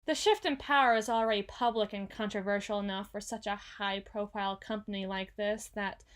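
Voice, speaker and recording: A woman's voice: medium pitch, fairly even intonation, brisk, clear, resonant tone, lightly acted. A woman playing a proud but insecure company boss in an ASMR roleplay, speaking formally and wordily with flustered stammers. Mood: anxious, bitter. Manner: formal, wordy, haughty yet flustered, stammering. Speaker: voice actor.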